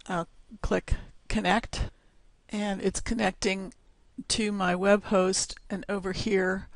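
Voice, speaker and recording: A woman's voice: fairly low pitch, gravelly, no room sound. A woman presenting a screencast tutorial, explaining steps one at a time with fillers, her voice scratchy as she loses it. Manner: slow, step-by-step explaining with fillers.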